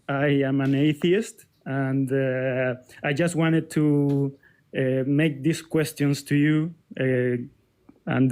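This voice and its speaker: Hesitant caller from Chile. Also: male voice, mid-range voice, a little mellow. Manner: hesitant, many restarts and fillers.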